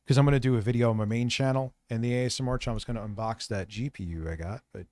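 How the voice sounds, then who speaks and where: Man, raspy, live-stream host, blunt and sweary, dry sound. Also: mid-range voice, very fast, a little mellow, dry recording. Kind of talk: casual live-stream chat.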